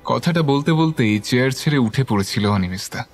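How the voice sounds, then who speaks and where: A man narrates a horror tale in a scripted, dramatic style. His voice is of medium pitch, and soft music plays faintly beneath it. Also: animated, brisk. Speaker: story narrator.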